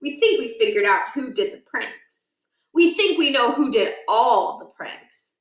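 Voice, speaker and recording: A woman's voice: on the high side, animated, a little slow, roomy sound, poor audio. A woman reading a storybook, playing an earnest schoolboy who reasons politely with grown-ups. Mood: hesitant. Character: earnest, persuasive schoolboy, polite student helper.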